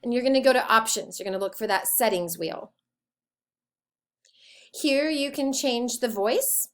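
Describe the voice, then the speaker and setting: A woman teacher giving an informal, step-by-step software tutorial in an explanatory way. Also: a little high, a little slow.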